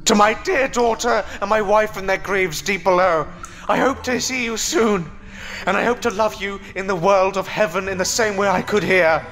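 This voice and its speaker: Man as a high-voiced, earnest self-sacrificing hero. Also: moderate pace, fairly bright tone. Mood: solemn farewell. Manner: earnest, solemn, dramatic. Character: grieving, self-sacrificing, loyal man defying a monster. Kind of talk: audio horror drama acting. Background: music.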